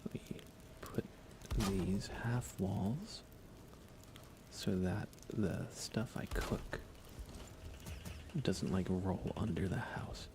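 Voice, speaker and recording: Low, gravelly, soft-spoken man. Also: drawn-out words, pauses often. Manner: soft-spoken, often slipping into whispers. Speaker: live streamer. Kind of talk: ASMR game commentary, casual chat. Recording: clear background noise.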